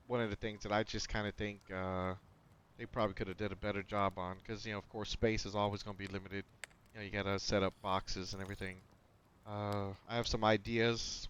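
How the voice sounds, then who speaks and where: A man with a slightly nasal, somewhat low voice talks through a game in a loose, rambling way, full of "uh"s and restarts. He speaks into a noise-cancelling headset, with a faint hum of noise behind him. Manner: rambling, with many fillers and restarts. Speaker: gaming channel host. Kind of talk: casual gameplay commentary.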